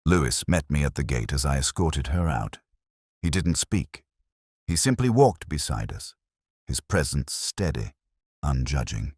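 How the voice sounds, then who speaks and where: A man narrating a scripted first-person detective story in a measured, solemn, literary manner. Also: a bass voice, very animated, darkish tone, husky, no echo, clean studio sound.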